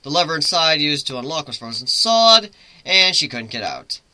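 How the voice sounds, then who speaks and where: Guy doing a dramatic story reading, sorta high for a man, dry close sound. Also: very animated, moderate pace, bright, forceful tone, no echo. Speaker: story reader, narrator.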